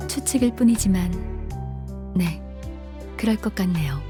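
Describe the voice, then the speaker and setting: An actress playing a calm, polite guide in a Korean game, explaining in a measured, formal way. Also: female voice, fairly low pitch. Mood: calm, explanatory. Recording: music behind the voice.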